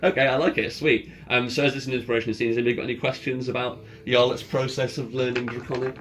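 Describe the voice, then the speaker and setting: A man's voice: mid-range voice, level tone, quick pace. A man acting as game master, casually explaining rules and scenes to players in an informal way. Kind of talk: casual tabletop roleplay game narration and rulings. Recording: clear background music under the voice. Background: ambient music.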